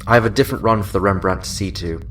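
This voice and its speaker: An actor playing a genial senior admiral in a science fiction audio drama, measured and formal, sounding a little away from the mic. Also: male voice, on the low side, fairly bright tone, far from the mic, slight background noise, lightly acted. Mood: formal, briefing. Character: genial, senior male admiral, chatty then businesslike.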